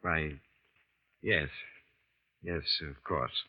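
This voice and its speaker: A suspicious estate lawyer. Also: male voice, a bass voice, steady tone, heavily acted. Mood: formal. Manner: formal, measured, probing. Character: suspicious older male estate lawyer, formal. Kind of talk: 1940s radio drama acting. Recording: dull old radio broadcast.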